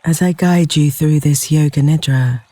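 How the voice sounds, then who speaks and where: A man guides a yoga nidra meditation in a very soft, dark and relaxed voice, set slightly high for a male speaker. He speaks slowly and soothingly, leaving long pauses. The voice is close and dry, with flowing river water behind it. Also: no echo. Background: flowing river water sounds.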